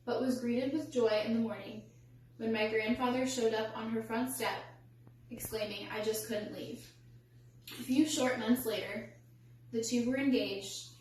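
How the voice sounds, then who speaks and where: A woman's voice: fairly high pitch, a little mellow. Echoey, distant young woman giving a speech. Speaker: student public speaker. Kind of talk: rehearsed storytelling speech. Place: indoor echoey room, recorded from a distance. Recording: echoey room, distant mic, faint hum.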